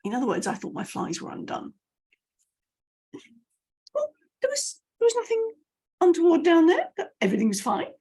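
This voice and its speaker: A woman's voice: extremely high pitch, wildly swooping pitch, moderate pace, pauses often, a little mellow. A British woman coaching her audience to camera, telling anecdotes with self-deprecating asides. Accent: British English. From United Kingdom. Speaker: message clarity coach.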